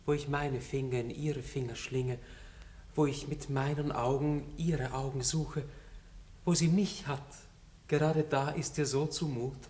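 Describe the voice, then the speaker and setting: A man's voice: mid-range voice, darkish tone, close to an everyday voice. A performer playing a young, impetuous nobleman lover in a 1960s radio play, tender and pleading. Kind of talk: 1960s radio drama acting.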